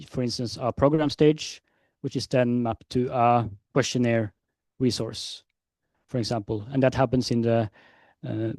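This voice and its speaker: A man presenting at a conference, answering questions in a measured, hesitant way. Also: medium pitch, dark tone, no room sound. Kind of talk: conference Q&A explanation. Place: conference session room.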